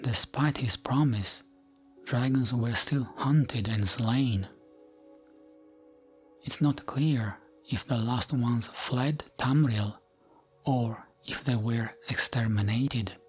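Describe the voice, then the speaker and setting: A man narrates fantasy lore in a gentle ASMR style. His delivery is hushed and soothing, taken very slowly at a medium pitch. Music plays softly behind his voice. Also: slightly rough. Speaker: narrator of gaming lore videos.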